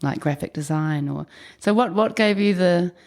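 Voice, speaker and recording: A woman's voice: fairly low pitch, moderate pace, a little mellow. A woman hosting a podcast, reading a scripted intro and then chatting in an encouraging, friendly way with her guest. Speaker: podcast host. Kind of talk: scripted intro then casual interview chat.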